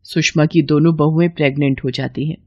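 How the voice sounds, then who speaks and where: A woman's voice: deep voice, fairly even intonation, fairly quick, slightly rough, muffled recording. A woman narrating a scripted cartoon family story as the storyteller.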